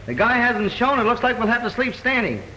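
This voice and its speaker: A wisecracking detective groom. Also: male voice, very high-pitched, very animated, bright, forceful tone, slight background noise, exaggerated voice, rough recording. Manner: wisecracking, brisk. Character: wisecracking young male detective, eager groom. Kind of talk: 1930s film dialogue.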